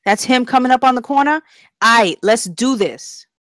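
A woman's voice: on the high side, expressive, moderate pace, fairly bright tone, dry recording. Casting: actress as streetwise girl gang boss, hard and commanding, slangy drama read, dry close sound. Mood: menacing. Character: tough streetwise young female gang leader. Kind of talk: table read of a TV drama script.

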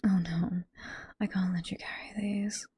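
Casting: actress as teasing older female librarian, British accent, ASMR roleplay. Hushed, very airy whisper, slow and sweet. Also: lowish voice, little pitch movement, no room sound. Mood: grateful, sweet. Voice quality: soft, breathy whisper.

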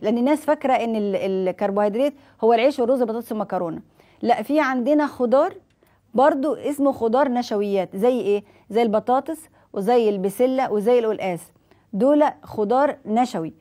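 Egyptian woman in her 40s, TV health doctor, rapid and mellow, poses her own questions, lapel mic. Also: a little high. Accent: Egyptian Arabic. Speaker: nutrition doctor hosting a TV health show. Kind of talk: explaining diet advice to viewers. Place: TV studio set, indoors.

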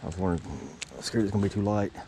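A man's voice: on the low side, steady tone, rapid-fire, warm tone. A man, talking casually to his action camera while fishing at a wooded lakeshore. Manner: laid-back, rambling, drawling asides. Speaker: fishing vlogger. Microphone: head-mounted action camera. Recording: clear wind noise on the mic. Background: wind.

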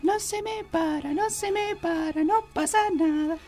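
Tiny high sly teddy bear, argentine, radio comedy. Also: male voice, a little slow, darkish tone, soft background music. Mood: deadpan. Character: small cuddly foul-mouthed teddy bear, sly. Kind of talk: comic radio play acting.

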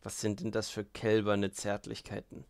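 A man voicing a mocking, spiteful, boastful young man in an audiobook, loud and needling. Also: medium pitch, moderate pace, no room sound, lightly acted. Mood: sneering.

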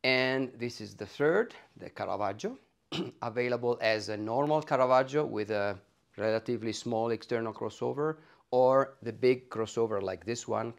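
A middle-aged man with an Italian accent, explaining technical things in an interview with hesitant, halting phrasing. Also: medium pitch, vocal fry. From Italy. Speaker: loudspeaker designer being interviewed. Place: carpeted home listening room, indoors. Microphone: clip-on lapel mic.

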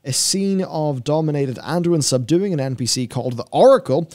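A man's voice: on the high side, lively intonation, moderate pace, a little mellow, no echo. A man giving casual, offhand commentary on video game news with dry joking asides. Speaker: host.

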